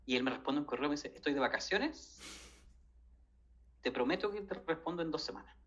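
A man's voice: on the high side, lively intonation, fairly quick, dull audio. A man in his thirties from Chile with a Chilean Spanish accent, telling a story in casual conversation with many fillers and restarts. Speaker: guest.

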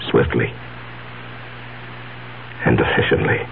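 An actor playing a weary condemned man pleading in court in a radio drama, halting and solemn, with a gravelly voice. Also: lightly acted. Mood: weary, resigned. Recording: muffled, hissy 1970s radio recording with hum.

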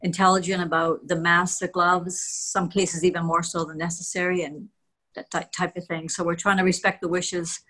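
A woman's voice: fairly low pitch, little pitch movement, moderate pace. An older woman, a long-time real estate agent, chatting casually on a podcast and talking at length. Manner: warm, self-mocking, talks at length.

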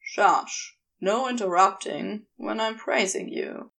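A woman voicing a commanding but tender male demon lover in a fan fiction audiobook, with deliberate, praising speech. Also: mid-range voice, gravelly, fairly bright tone. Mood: tender, coaxing.

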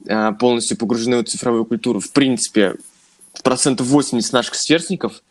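Young man, podcast co-host, casual chat, joking, mid-pitched voice. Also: quick pace. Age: 20s. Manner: relaxed, joking, addresses listeners as friends.

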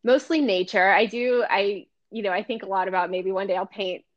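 A woman from Florida, an artist guest, chatting in a relaxed, hesitant way with many pauses and soft laughs. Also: mid-range voice, dull audio. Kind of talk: casual interview chat.

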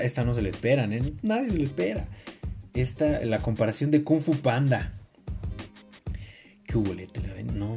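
A man with a Mexican accent talking casually in an opinionated, slangy, expressive way, as a podcast co-host. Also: mid-range voice.

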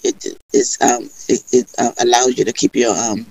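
A middle-aged woman with a foreign accent, recalling her life in a halting, conversational way as a guest on a video call. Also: a bass voice, fairly even intonation, gravelly, a little mellow, poor audio. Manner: halting, repeats words. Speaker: podcast guest. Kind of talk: conversational personal recollection.

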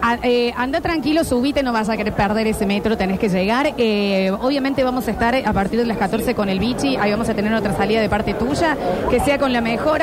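A woman's voice: on the high side, very noisy. A woman with an Argentine accent hosting a live link in a casual, familiar, colloquial way. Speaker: programme host. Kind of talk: casual live conversation with a correspondent.

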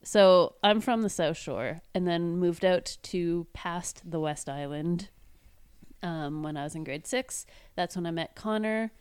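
A young woman from Montreal chatting casually on a podcast, relaxed and agreeable. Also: lowish voice, measured pace, clean tone, no echo. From Montreal, Canada. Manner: easygoing, short agreeing replies. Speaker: podcast team member and editor. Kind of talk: casual team chat.

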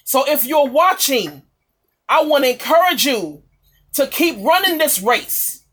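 A woman's voice: sits high, expressive, unhurried, a bit bright. A woman preacher giving an impassioned, exhorting charismatic sermon, repeating phrases for emphasis.

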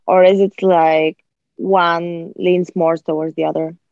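A woman hosting a podcast interview with a lowish voice and measured, thoughtful questions. The recording is dry and muffled, with a lo-fi edge. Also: flat delivery, creaky, a little mellow, no echo. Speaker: podcast host. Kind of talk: interview chat.

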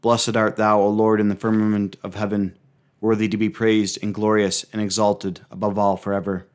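A man reciting liturgical prayers, psalms and hymns in a solemn, measured, reverent manner. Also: lowish voice, little pitch movement, clear, resonant tone.

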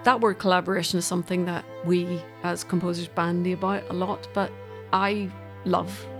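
A woman's voice: on the low side, steady tone, moderate pace, over music. A woman talking as an interview guest about her creative work, thoughtful and emphatic, feeling her way through sentences. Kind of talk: reflective interview conversation.

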